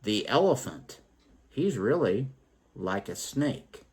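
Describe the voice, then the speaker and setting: A man acts out a cocksure blind man from a storybook fable, grandly declaring his certainty. The delivery is pompous and drawn out, leaping high in pitch, with a husky edge. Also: very animated, takes it slowly, a little mellow, exaggerated voice. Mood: smug conclusion. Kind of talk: theatrical poem recital.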